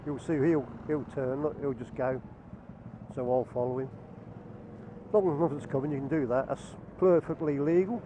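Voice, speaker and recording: A man's voice: mid-range voice, fairly even intonation, normal speed. Man narrating from a motorbike. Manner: chatty, dry, self-mocking asides. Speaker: motovlogger. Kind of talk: casual running commentary while riding. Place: on a motorbike on open roads. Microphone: helmet camera mic. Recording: muffled helmet-cam audio with road noise.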